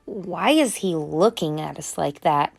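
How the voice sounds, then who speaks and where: A woman's voice: low voice, lively intonation, a little slow, creaky, no echo, faint music behind, pitched below the performer's own voice, exaggerated voice. A woman voicing a grumpy, suspicious male fish in a children's storybook, with an irritable, alarmist manner.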